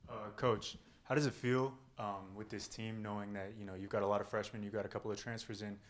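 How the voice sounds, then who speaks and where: A man's voice: fairly low pitch, level tone, fairly quick. A man, a reporter, asking questions at a press conference, hesitant and stumbling over names.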